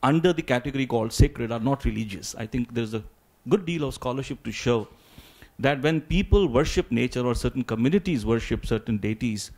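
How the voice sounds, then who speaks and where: A man speaking as a symposium panelist, answering in a halting, deliberate academic way and often repeating words. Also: mid-range voice, animated, a little mellow.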